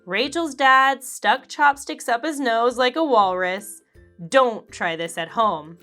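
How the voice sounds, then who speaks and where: Woman reading a kids' storybook, bright and bouncy, soft music under it. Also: a little high. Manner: slow, expressive, animated character voices. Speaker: children's book reader. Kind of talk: read-aloud storybook narration.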